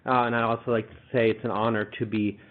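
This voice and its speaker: A man's voice: medium pitch, flat delivery. Congested man presenting over phone line. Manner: halting, many uh fillers. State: congested with a cold. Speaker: presenter, policy manager. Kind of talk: webinar presentation. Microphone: online webinar connection. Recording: phone-like webinar audio.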